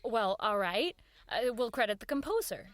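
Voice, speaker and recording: Earnest, higher-voiced radio boss. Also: female voice, big pitch swings, moderate pace, no room sound. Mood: earnest insistence. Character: earnest, persistent woman radio show boss and narrator. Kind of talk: audio drama acting with first-person narration.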